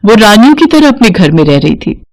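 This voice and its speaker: A woman's voice: mid-range voice, big pitch swings, brisk, darkish tone. A woman narrating a scripted moral story as a storyteller.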